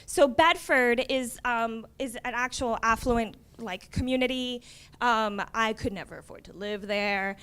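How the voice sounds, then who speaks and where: A woman's voice: on the high side, unhurried, fairly bright tone, smooth. A young woman from Miami, a community college librarian, giving a conversational conference presentation with wry asides. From Miami, USA.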